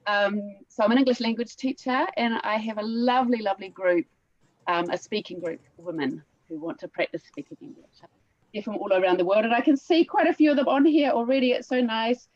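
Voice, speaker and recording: A woman, an English teacher, greeting her students warmly and calling things lovely. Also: on the high side, brisk, no room sound. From New Zealand. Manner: warm, friendly, says "lovely" often. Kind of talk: casual self-introduction. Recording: dry, dull video call audio.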